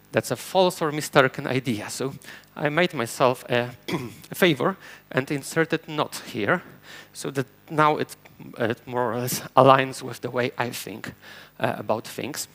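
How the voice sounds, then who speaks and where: Man, Polish accent, non-native speaker, conference talk, gravelly, mid pitch, explanatory. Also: fairly bright tone. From Poland. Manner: explanatory, frequent fillers and restarts. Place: conference stage before an audience.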